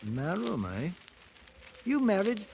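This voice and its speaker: A man's voice: high voice, big pitch swings, takes it slowly, gravelly, darkish tone, highs cut off, full character voice. An actor playing a brash, boastful British ex-soldier turned king, slangy and commanding, in 1950s radio drama style. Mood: curious, gruff. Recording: noisy 1950s radio broadcast recording.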